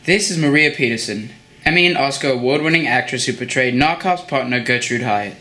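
Actor as a bright-voiced male documentary reporter. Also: medium pitch, lively intonation, a little fast, echoey room. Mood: earnest. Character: earnest male documentary reporter interviewing witnesses. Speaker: narrator and interviewer. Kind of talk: scripted mock-documentary narration.

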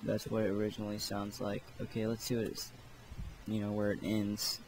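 Guy doing a laid back computer tutorial, kinda low creaky voice, bit of background noise. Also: monotone, fairly quick, darkish tone, poor audio. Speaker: tutorial host. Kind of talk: casual software tutorial narration.

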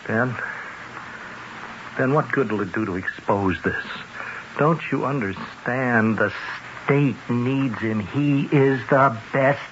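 Earnest male loyalist, very unhurried, pleading, old radio drama, muffled, faint noise. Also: medium pitch, fairly bright tone. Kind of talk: 1970s radio drama acting.

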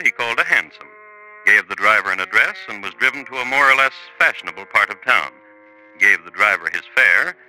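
Theatrical crime narrator, animated, piercing tone, deliberate, old radio recording. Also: male voice, medium pitch, rough recording. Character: wry, ominous male narrator of true crime tales. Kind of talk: 1950s radio drama narration. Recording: vintage 1950s radio, faint music.

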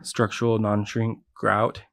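A man's voice: on the low side, monotone, unhurried, creaky, a bit bright. An American man giving a casual, matter-of-fact walkthrough of a building job, explaining as he goes. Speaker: builder presenting a project. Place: indoors, large unfinished basement.

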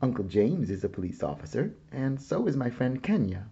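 A man voicing a calm, proud mother in a read-aloud picture book, speaking gently and reassuringly. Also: mid-range voice, moderate pace, slightly rough, muffled recording, full character voice.

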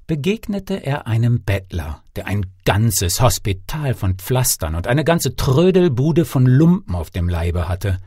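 A man reading a fairy tale as an audiobook narrator, expressive and theatrical, with deliberate phrasing. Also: mid-range voice, breathy edge, no echo, clean studio sound. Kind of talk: expressive scripted audiobook storytelling.

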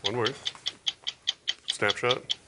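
A man in his thirties plays a studio guessing game with terse answers. His delivery is extremely slow, at an average male pitch, under loud noise. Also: fairly even intonation. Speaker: game contestant. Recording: very noisy TV studio floor.

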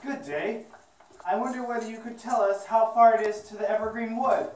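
A woman plays a polite, curious puppet mouse with an earnest, high voice. It sounds far from the microphone in a very echoey room. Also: a little fast, darkish tone, natural voice. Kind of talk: children's puppet show acting.

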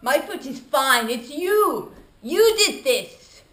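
A man voicing an angry, high-voiced trapeze woman. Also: sing-song, takes it slowly, sharp tone, reverberant, exaggerated voice. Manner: accusing, repetitive. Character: defiant female trapeze artist, angry and accusing.

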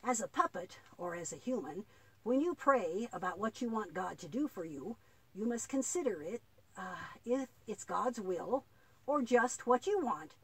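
A woman voicing a patient, devout old grandfather in a children's puppet play, explaining earnestly and haltingly with a husky voice. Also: mid-range voice, lively intonation, poor audio. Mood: earnest, instructive.

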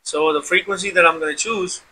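A man's voice: high-pitched, fairly even intonation, brisk, sharp tone, slight background noise, poor audio. A man hosting an informal tech demonstration, explaining step by step and often repeating himself. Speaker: tutorial host.

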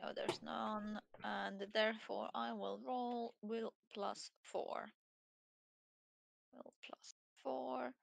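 Slow, creaky-voiced young woman gaming. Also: fairly high pitch, steady tone, slight background noise. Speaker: tabletop game player. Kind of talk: casual game talk. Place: indoor room, online video call.

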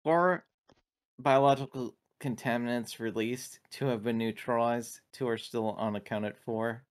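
A man with a medium-pitched voice takes part in a tabletop game. He speaks casually, as a player describing what his character does over a voice call. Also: steady tone, a little slow.

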